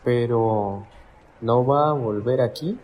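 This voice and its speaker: A man's voice: medium pitch, slow, darkish tone, slight background noise, close to an everyday voice. An actor playing an eager man from a crowd looking for the Master, speaking in a pleading way in a radio drama. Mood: worried.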